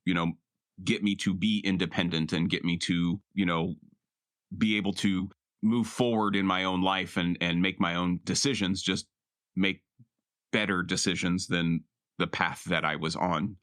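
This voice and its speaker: A man playing a tabletop role-playing game, explaining his character in a halting, reflective, casual way. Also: low voice, steady tone, fairly bright tone. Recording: music playing behind the voice. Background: music.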